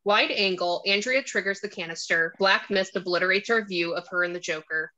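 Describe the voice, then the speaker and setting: A woman's voice: mid-range voice, fairly quick, pushed and bright, lo-fi sound. A woman narrating a screenplay, reading out stage directions and scene descriptions.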